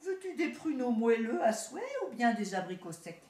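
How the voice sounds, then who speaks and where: A woman's voice: a little high, very animated, normal speed, mellow, voice pushed up. A woman voicing a haughty, boastful male city rat in a storybook tale, talking down to his cousin. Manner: proud, boastful, condescending. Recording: roomy echo.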